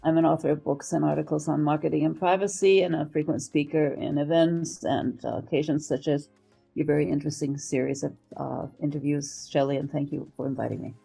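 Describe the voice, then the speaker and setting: An older American woman speaks as an interview guest. Her voice sits low and mellow, with a good deal of fry. She is articulate and measured, taking small pauses as she explains her work. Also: fairly even intonation, soft background music. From United States. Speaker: interview guest, marketing professional and professor. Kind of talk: interview answers, career account.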